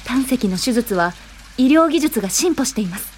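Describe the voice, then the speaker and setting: An actress playing a caring, determined young social worker in a radio drama, earnest and gently persuasive. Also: female voice, a little high, lively intonation, moderate pace, a little hiss or hum, close to an everyday voice. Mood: gentle, reassuring.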